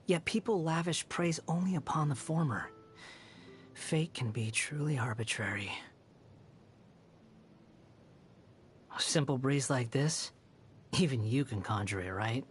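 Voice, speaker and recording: Man voicing an aloof, mocking young anime puppet, high-pitched. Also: lively intonation, moderate pace, a little hiss or hum, close to an everyday voice. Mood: disdainful, bored. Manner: sardonic, haughty, dismissive, taunting. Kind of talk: anime video game character voice lines.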